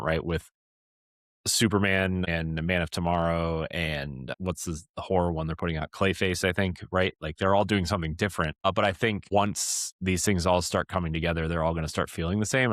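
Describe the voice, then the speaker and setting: A man with a low voice talks casually as a podcast host. His delivery rambles and he keeps checking in with the listener. The sound is close and dry, with no room echo.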